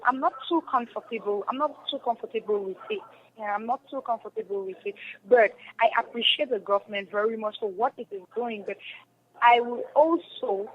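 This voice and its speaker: Cameroonian woman, higher voice, noisy recording. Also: lo-fi sound. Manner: earnest, emphatic, repeats phrases. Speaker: stage and radio drama actress, interview guest. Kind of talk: radio interview, spontaneous answers.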